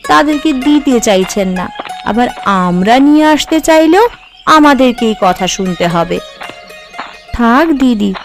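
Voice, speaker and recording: A woman plays a young housewife in a family cartoon. Her voice is very high, with an earnest, anxious, explaining manner. Faint music plays underneath. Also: full character voice. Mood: worried, then resigned, hopeful. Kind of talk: cartoon voice acting.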